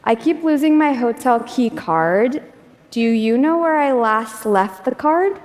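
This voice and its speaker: A woman's voice: on the high side, lively intonation, unhurried, darkish tone. A woman runs a live demo on stage, upbeat and chatty, with a few filler words. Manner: upbeat, chatty, laughs often, some fillers. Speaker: demo presenter. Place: stage of a large auditorium. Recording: echoey hall reverb.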